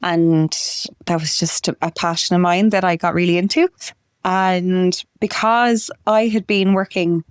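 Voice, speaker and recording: A woman's voice: fairly low pitch, clean tone, no echo, studio quality. A woman around thirty, chatting casually as a podcast guest and peppering her speech with "like". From Wexford, Ireland. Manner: chatty, frequent "like" fillers, laughs easily. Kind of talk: casual conversational interview.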